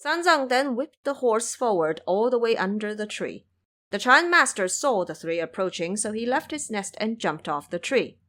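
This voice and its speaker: A woman reading scripted audio drama narration as an expressive storyteller. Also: mid-range voice, normal speed.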